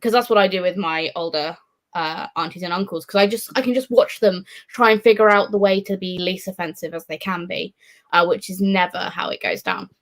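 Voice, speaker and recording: Woman, casual book-club talker. Informal, sweary, thinks out loud. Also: lowish voice, brisk. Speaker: participant in a live book readalong discussion. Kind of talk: casual chat about books.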